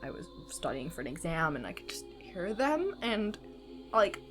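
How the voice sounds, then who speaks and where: A woman's voice: lowish voice, sing-song, normal speed, music in the background. A woman voicing a down-to-earth, blunt teenage tomboy student in an anime parody dub, with dry delivery. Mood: awkward. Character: sardonic, down-to-earth teenage girl passing as boy student.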